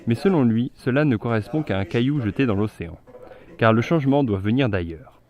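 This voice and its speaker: A young man narrating a news report in a steady, scripted voice-over. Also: medium pitch, brisk, muffled recording. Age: young adult. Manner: steady read delivery.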